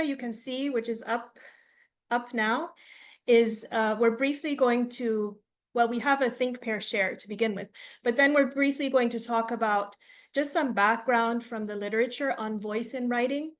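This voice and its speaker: A woman's voice: a little high, moderate pace. A woman from the United States who grew up in Tanzania, facilitating an online workshop over a video call in a friendly, explaining way with frequent fillers. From United States, raised in Tanzania.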